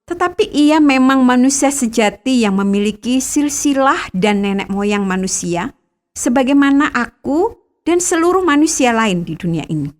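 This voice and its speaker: A middle-aged woman from Bangka, Indonesia, reading a scripted devotional reflection in a measured, earnest way, lifting the start of each new thought. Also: high voice, animated. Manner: measured, earnest reading with lifted phrase openings. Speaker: devotional narrator.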